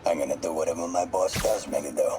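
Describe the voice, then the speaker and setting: A man's voice: sits low, fast, music in the background, natural voice. An actor playing a cold, menacing mob enforcer in a video game, blunt and controlled as he makes threats. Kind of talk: video game voice acting, dramatic dialogue.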